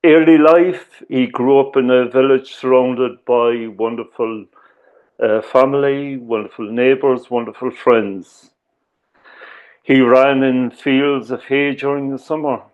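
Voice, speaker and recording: A man with an Irish accent giving a talk as a slow, measured storyteller, often pausing on "uh" and "um". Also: mid-range voice, fairly even intonation, lo-fi sound. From Ireland. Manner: measured, with frequent "uh" and "um". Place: lives in the UK.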